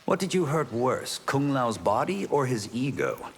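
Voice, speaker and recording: Lively, confident fighter, reverberant. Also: male voice, mid-range voice, unhurried. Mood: cocky, teasing. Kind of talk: video game character acting. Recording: long reverb, clear noise.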